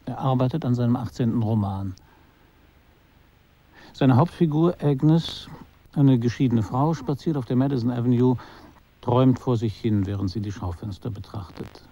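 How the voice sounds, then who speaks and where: A man performing a detached, ironic literary narrator in a radio drama, with measured, deliberate phrasing. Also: medium pitch, dark tone, dull audio, close to an everyday voice. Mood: measured, wry.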